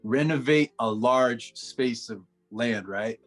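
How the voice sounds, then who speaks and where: An American man in his twenties chatting casually on a video call in an upbeat, slangy, expressive way. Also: mid-range voice, slow pace. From Orlando, Florida, US. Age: 20s. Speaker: dating show contestant, musician. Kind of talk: casual chat on a video date. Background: background music.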